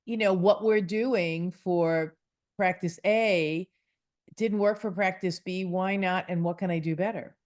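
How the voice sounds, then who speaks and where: A woman's voice: fairly low pitch, fairly even intonation, unhurried, a little mellow. A woman hosting a conversational interview, chatty and rambling, often reaching for food comparisons.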